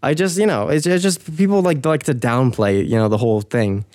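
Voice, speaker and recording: A man's voice: medium pitch, brisk, darkish tone, no room sound. Hesitant young American man, dry sound. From United States. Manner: hesitant, thoughtful, many fillers and restarts. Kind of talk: casual chat.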